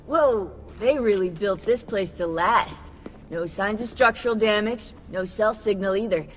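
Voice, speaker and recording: An actress playing a confident, casual, upbeat young tech specialist in a video game. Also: female voice, medium pitch, a little slow, natural voice. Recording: echoey hall, music behind.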